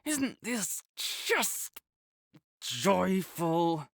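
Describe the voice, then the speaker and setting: A smug young academic rival, voiced by a performer teases and talks down with sarcastic, half-flustered condescension. The delivery is slow and drawn out, with pitch swinging widely from line to line. The voice sounds dry and close, with no room around it. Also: big pitch swings, creaky, fairly bright tone, no room sound, exaggerated voice. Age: young adult. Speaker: audio roleplay performer. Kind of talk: scripted audio roleplay acting.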